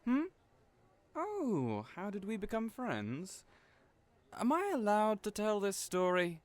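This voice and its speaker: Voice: medium pitch, huge pitch swings, slow pace, no echo. An actor in an audio roleplay playing a cheerful, chatty hairdresser who is theatrical, effusive and playfully teasing.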